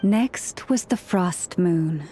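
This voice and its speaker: A woman playing a serene, solemn priestess in a video game, telling old legends in a measured, formal, reverent way with soft endings to her lines. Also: on the low side, animated, faint music behind. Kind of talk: scripted video game storytelling.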